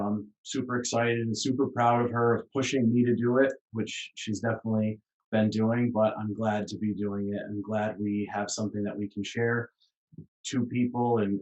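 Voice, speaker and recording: A man hosting a casual podcast, introducing his wife and gently asking her questions. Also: on the low side, far from the mic, low-quality recording. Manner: supportive, prompting questions. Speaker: podcast host.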